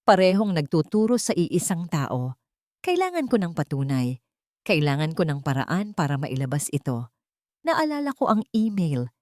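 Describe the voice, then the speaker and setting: A woman's voice: deep voice, very animated, normal speed, darkish tone, no echo, clean studio sound. A woman narrating a first-person audiobook drama in a scripted, dramatic storytelling style.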